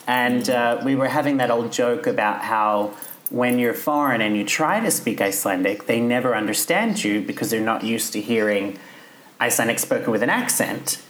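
A man's voice: medium pitch, moderate pace, a bit bright. Chatty, laughing male podcast host. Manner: chatty anecdotal storyteller, laughs often. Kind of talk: casual comedic chat, storytelling. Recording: roomy echo with faint noise.

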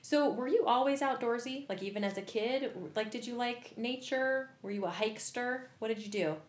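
A woman's voice: fairly high pitch, normal speed, reverberant. A woman hosting an interview, chatty and enthusiastic, full of "like" and "you know". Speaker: interview host. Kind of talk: casual interview.

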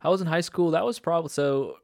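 A man's voice: fairly high pitch, fast, gravelly, a little mellow, no echo. A young man born in Indonesia chatting casually as a guest, rambling with fillers and restarts and laughing often. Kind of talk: casual conversation.

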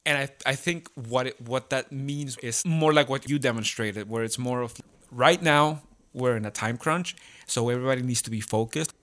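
A man in a casual, reflective discussion, speaking haltingly and repeating words as he works out his thoughts. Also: mid-range voice, a bit bright. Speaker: theatre company podcast speaker.

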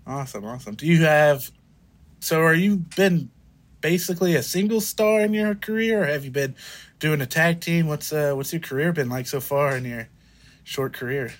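A man hosting a podcast interview, upbeat and quick with short affirmations. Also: fairly high pitch. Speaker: podcast host. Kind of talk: casual interview.

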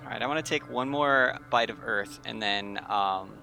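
A man's voice: mid-range voice, normal speed, gravelly, over music. A man chatting casually as a tabletop game player, hesitantly stating his actions.

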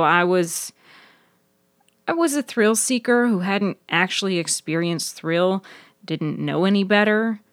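A woman voicing a lively, teasing woman in an audio story, with a casual and animated manner. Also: fairly low pitch, dry recording, clean studio sound.

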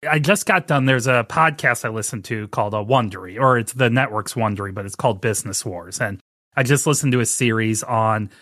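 A man's voice: lowish voice, a little fast, fairly bright tone, dry recording. Chatty man hosting a podcast, dry sound. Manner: chatty, storytelling, rambling with restarts. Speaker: podcast host. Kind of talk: casual podcast chat.

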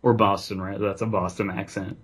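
A man's voice: fairly low pitch, level tone, a little fast. A man co-hosting a podcast in casual chat, hesitant at times and drily teasing. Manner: dry teasing, hesitant starts. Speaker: podcast co-host.

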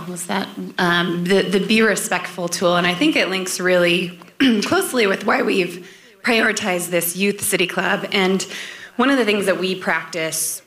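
A woman who directs a nonprofit, giving short remarks at a forum in an earnest, measured way with some fillers. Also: medium pitch, fairly bright tone, reverberant.

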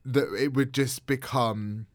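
A man's voice: mid-range voice, takes it slowly, dry recording. A man co-hosting a podcast in casual chat, chesty and coughing a little, chatty and restarting his sentences, speaking into a studio mic. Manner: chatty, animated, trails off and restarts. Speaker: podcast co-host. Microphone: studio mic with pop guard.

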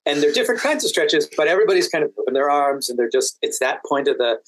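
An American man speaking as a podcast guest, telling stories in a halting, deliberate way with dry amusement. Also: a little high, very animated, dry recording, rough recording. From Washington DC, United States. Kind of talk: anecdotal interview conversation.

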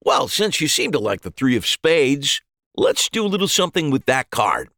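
A man's voice: mid-range voice, sing-song, pushed and bright, no room sound, studio quality, voice pushed up. Guy doing a cheery stage magician in an audio story, reassuring patter, voice bouncing around. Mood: cheerful patter. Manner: upbeat patter, deliberately cheerful. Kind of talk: acted dialogue in an audio short story.

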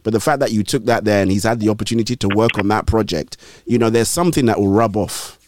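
A man's voice: on the low side, quick pace, slight background noise. A man hosting a gospel radio interview from a studio, often restarting his words and encouraging his guest in a preachy manner. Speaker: radio show host.

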